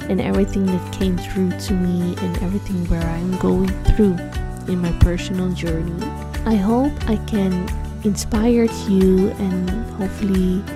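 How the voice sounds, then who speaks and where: A Dutch woman with a Dutch accent, a non-native English speaker hosting her own solo podcast, speaking in slow, searching phrases with frequent fillers. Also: lowish voice. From Netherlands. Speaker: podcast host, sound bath guide. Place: small studio.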